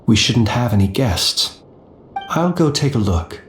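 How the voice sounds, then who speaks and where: An actor playing a composed, analytical gentleman soldier in a video game, speaking in a measured, formal, deliberate way. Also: mid-range voice, very animated, echoey room, faint music behind. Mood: suspicious. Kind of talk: video game character dialogue.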